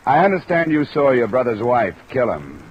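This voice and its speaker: An actor playing a tough, cool-headed insurance investigator, clipped and matter-of-fact, in an old-time radio drama. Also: male voice, medium pitch, sing-song, normal speed, dull audio, slight background noise, lightly acted. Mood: businesslike. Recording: old radio recording under light rain.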